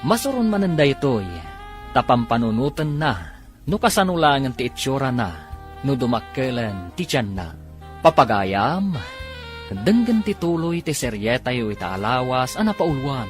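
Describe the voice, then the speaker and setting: Expressive male narrator over prominent music. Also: medium pitch, unhurried. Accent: Filipino, Ilocano. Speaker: radio drama narrator and announcer. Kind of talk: dramatic scripted narration.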